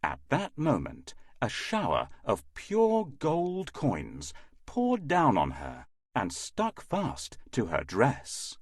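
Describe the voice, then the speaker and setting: A man's voice: medium pitch, wildly swooping pitch, slow pace, no echo, clean studio sound. A man reading a scripted children's fairy tale as narrator.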